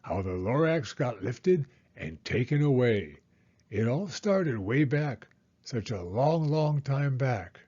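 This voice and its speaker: A man voicing a secretive old recluse from a children's storybook, who recounts his tale in a dramatic, drawn-out way. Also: medium pitch, animated, darkish tone, breathy edge, lo-fi sound. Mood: secretive, nostalgic. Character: secretive old reclusive storyteller, wistful, self-justifying schemer.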